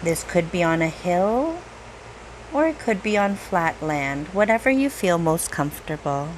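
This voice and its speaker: Slow-paced woman guiding a meditation. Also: lowish voice, sing-song, slight background noise, low-quality recording. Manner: gentle, unhurried, with long pauses. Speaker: meditation guide, reiki teacher. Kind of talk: guided meditation, slow soothing narration.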